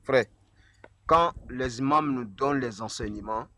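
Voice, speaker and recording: A man's voice: mid-range voice, unhurried, bright, forceful tone. A man calling into a live debate, hesitant and defensive, deflecting the questions put to him. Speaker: caller in debate.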